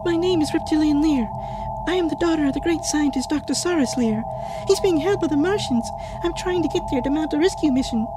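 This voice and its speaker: An earnest young damsel in space acted by a woman pleads in a very high voice. Clear music runs behind the sci-fi comedy performance. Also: a little fast, lightly acted, rough recording. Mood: pleading. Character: pleading young woman stowaway, scientist's daughter.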